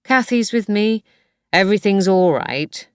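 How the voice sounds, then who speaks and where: A calm husband who keeps his voice soft and reassuring, as a woman reader plays him. He speaks slowly and steadily. The sound is close and dry. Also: medium pitch, clear, resonant tone, dry recording, voice pushed up, natural voice.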